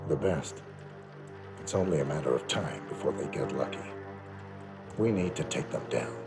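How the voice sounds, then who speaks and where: An actor playing a stoic, grim assassin in a video game, with terse, controlled, deadpan delivery. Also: male voice, very deep voice, normal speed, over music. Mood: cool, determined. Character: stoic, grim professional assassin, grown man. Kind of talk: cinematic video game acting.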